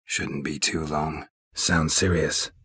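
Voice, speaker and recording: An actor playing a stoic, sardonic monster hunter for hire in a video game, speaking tersely and deadpan in a gravelly, husky voice. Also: male voice, rumbling low, slow pace, no room sound, clean studio sound, exaggerated voice. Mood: matter-of-fact. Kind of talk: video game character dialogue.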